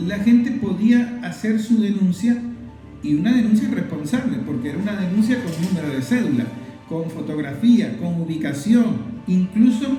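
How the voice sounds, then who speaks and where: A man's voice: high-pitched, dark tone. A man with a Venezuelan accent giving emphatic, didactic political commentary as a program host, stressing points through repetition. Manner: emphatic, didactic, repeats phrases in list-like runs. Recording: very echoey room, faint music. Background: faint music.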